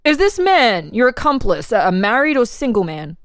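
A woman's voice: fairly high pitch, sing-song, normal speed, dry recording, clean studio sound. A woman playing an official interrogator who puts formal, leading questions in a dramatic reading of a transcript. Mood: probing, formal. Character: probing, insistent official interrogator questioning a murder suspect.

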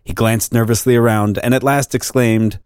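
A man's voice: mid-range voice, fairly even intonation, normal speed, a little mellow, smooth, no echo, clean studio sound. A man giving a formal, dramatic scripted narration in a storytelling manner. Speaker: actor reading as narrator. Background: music.

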